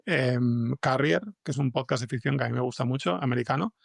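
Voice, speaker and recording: A man with an accent from Spain telling anecdotes as an interview guest, in a halting way with many restarts. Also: medium pitch, creaky, dry recording, studio quality. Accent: Spanish (Spain). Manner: halting, restarts sentences often. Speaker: podcast fiction writer, interview guest. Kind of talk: conversational interview, anecdotal storytelling.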